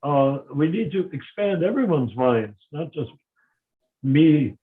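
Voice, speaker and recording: Older man, high-ish voice, emphatic casual talk, restarts sentences, thin phone-like call audio. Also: animated, slow. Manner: emphatic, repeats himself when starting sentences. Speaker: discussion guest. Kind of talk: casual discussion. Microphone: video call. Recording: tinny phone-like line.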